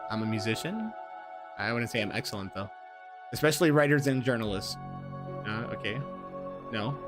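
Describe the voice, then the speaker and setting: A man in his twenties reading aloud and chatting casually, rambling with fillers and repeated words, poking fun at himself. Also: mid-range voice. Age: 20s. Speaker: gaming streamer. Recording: music playing underneath. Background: music.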